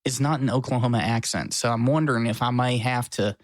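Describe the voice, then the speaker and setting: A man's voice: medium pitch, brisk, no room sound, studio quality. A man co-hosting a podcast, chatting casually with a joking, self-mocking manner. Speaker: podcast co-host. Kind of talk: casual chat. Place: his apartment.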